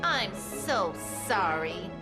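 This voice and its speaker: A woman's voice: medium pitch, sing-song, very slow. A woman voicing an earnest, easily alarmed electric cloud creature in a cartoon. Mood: apologetic, explaining. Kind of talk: cartoon voice acting. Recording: loud music behind the voice.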